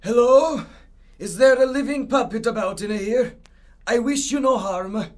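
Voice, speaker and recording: A man's voice: very high-pitched, unhurried. A man acting a lonely, rambling old woodcarver who grumbles theatrically in an audio drama. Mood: wary.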